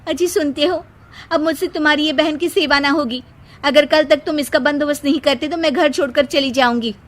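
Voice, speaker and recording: A woman's voice: high-pitched, fairly even intonation, fairly quick, voice pushed up, close to an everyday voice. A woman voicing a scheming, lazy young wife who wheedles and complains in a children's story. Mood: demanding, fed up. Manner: wheedling, whiny, demanding.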